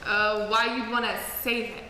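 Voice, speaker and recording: A woman's voice: a little high, level tone, normal speed, pushed and bright. An actress playing a self-conscious young woman performer who talks in a hesitant, wry, chatty way. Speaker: actor playing a performer on break. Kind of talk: scripted film dialogue. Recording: echoey hall with faint noise.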